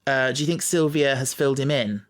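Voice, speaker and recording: A man's voice: mid-range voice, a little fast. Man chatting as an enthusiastic podcast host. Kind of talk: casual fan discussion.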